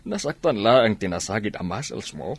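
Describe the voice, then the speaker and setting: A man's voice: on the low side, big pitch swings, normal speed, vocal fry, no room sound, full character voice. An actor with an Ilocano Filipino accent playing a courteous, flirtatious businessman in a radio drama. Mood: apologetic, helpful.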